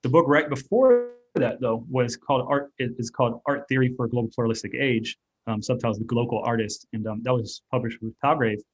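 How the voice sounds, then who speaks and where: A man's voice: medium pitch, expressive, brisk, few pauses, slightly rough, muffled recording. A man who grew up in Germany, with German as his first language, answering interview questions as an author and artist in a rambling, reflective way full of fillers and restarts. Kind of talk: casual interview talk.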